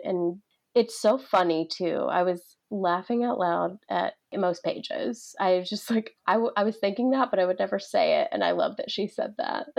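Young woman with a lowish voice, gushing. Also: gravelly, darkish tone, dull audio. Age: young adult. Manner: gushing, informal, frequent "like". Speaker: podcast host. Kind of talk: casual book chat.

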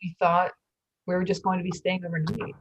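A woman's voice: lowish voice, little pitch movement, fairly quick, a little hiss or hum, lightly acted, rough recording. A woman reading the part of a wife who wanted to evacuate, retelling it earnestly. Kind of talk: readers theatre monologue.

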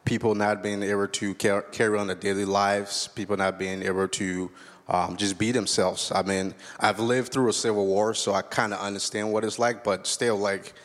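A man's voice: on the low side, level tone, moderate pace, a bit bright. A man from Liberia speaking at a church service, earnest and candid as he reflects on his life. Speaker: guest speaker sharing testimony. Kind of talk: personal testimony on stage. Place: church stage. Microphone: stage microphone. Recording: long reverb of a large hall.

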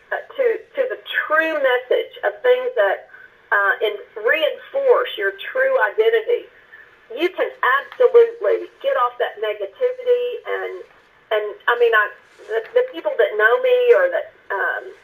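Earnest halting woman sharing her testimony. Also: on the high side, a bit bright, poor audio. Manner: earnest, halting, with many restarts and repeated words. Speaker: guest minister. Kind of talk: personal testimony in a faith interview.

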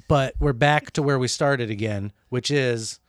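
A man co-hosting a satirical comedy chat, deadpan and mock-serious, often restarting his sentences. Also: medium pitch, slow pace, no echo, studio quality. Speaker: podcast co-host.

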